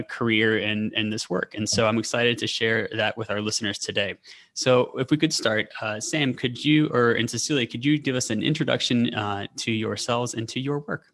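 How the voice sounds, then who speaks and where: A man hosting a podcast interview, introducing his guests with halting restarts. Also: on the low side. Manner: warmly halting, many restarts. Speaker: podcast host.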